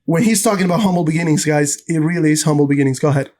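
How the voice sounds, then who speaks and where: A man's voice: fairly high pitch, very fast, darkish tone. A man from Ecuador hosting a podcast in an enthusiastic, informal chat, addressing both his guest and the audience. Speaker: podcast host.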